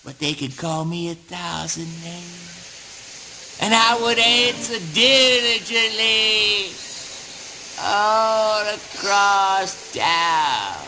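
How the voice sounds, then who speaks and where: Male actor as menacing yet childish oddball for spoken word drama: high, slow, obsessive. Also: pushed and bright, full character voice. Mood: grandiose, rapt. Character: Eugene, strange grandiose male misfit, menacing and childish. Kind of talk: theatrical spoken word monologue. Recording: noisy early-nineties amateur audio play.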